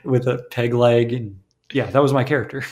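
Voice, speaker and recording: A man co-hosting a podcast in casual chat, telling stories in a relaxed way with many fillers. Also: mid-range voice, moderate pace, a little hiss or hum. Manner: relaxed, filler-heavy storytelling. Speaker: podcast co-host.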